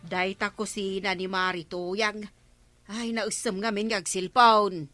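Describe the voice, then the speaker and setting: A woman's voice: mid-range voice, fairly even intonation, slow pace, sharp tone, dry recording, faint music behind. A woman playing a fretful, bossy, gossipy village neighbour in a radio comedy drama, with an Ilocano Filipino accent.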